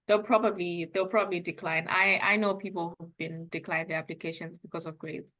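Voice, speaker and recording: A woman's voice: on the low side, steady tone, brisk, a bit bright, rough recording. A young woman from Zimbabwe, a former international student, chatting casually and hedging her points with frequent laughs.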